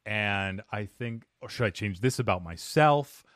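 A man's voice: on the low side, animated, unhurried, a bit bright, no echo, clean studio sound. A man hosting a podcast interview, chatting in a playful, self-deprecating way. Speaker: podcast host. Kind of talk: casual interview chat.

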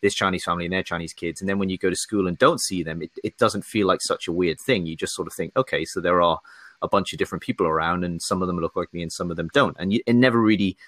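A man's voice: deep voice, fast talker, fairly bright tone, dry recording. A young man from northeast England with a blended, faintly Geordie British accent, chatting hesitantly as a podcast guest with many restarts. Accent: mixed British, faint Geordie. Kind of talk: casual interview chat.

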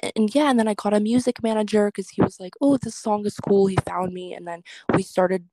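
A chatty woman answering interview questions about her music, loose and rambling, full of fillers and restarts. Her voice sits at an ordinary pitch. The recording is dry, with no room sound. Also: steady tone, brisk, dark tone, dry recording. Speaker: guest singer and social media creator.

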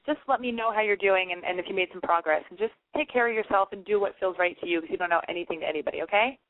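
A woman's voice: mid-range voice, racing. A woman hosting a late-night call-in advice radio show, blunt and gushing, swearing freely. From German family. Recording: tinny phone-like audio.

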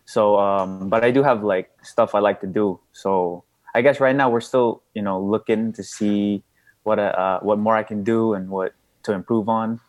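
A young man from the Philippines whose first language is Bisaya, answering interview questions in a soft, hesitant way with many fillers. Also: lowish voice, steady tone, normal speed. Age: young adult. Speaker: boxing prospect guest.

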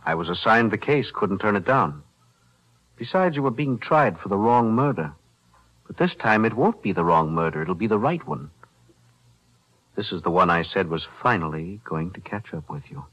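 A principled lawyer speaks with measured, composed calm. His voice sits very deep, heard through the dull, lo-fi sound of an old radio broadcast. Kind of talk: 1940s radio acting.

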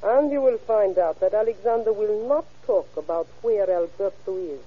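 An actress playing a guarded female informant, speaking in a deliberate, insinuating way in an old radio crime drama. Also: mid-range voice, husky, close to an everyday voice. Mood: knowing. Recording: tinny, narrow-band vintage radio recording.

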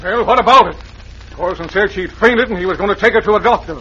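A man's voice: high voice, quick pace, bright, forceful tone. A man playing a blustering, folksy, stubborn Old West sheriff in an old radio drama. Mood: challenging. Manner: folksy, gruffly authoritative. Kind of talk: old-time radio western acting. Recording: muffled, faintly noisy vintage radio recording.

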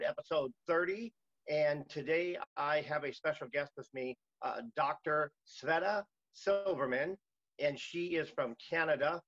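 A man's voice: on the high side, fairly bright tone, dry recording, lo-fi sound. A man in his fifties hosting a podcast, hesitant with many ums and uhs. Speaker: podcast host.

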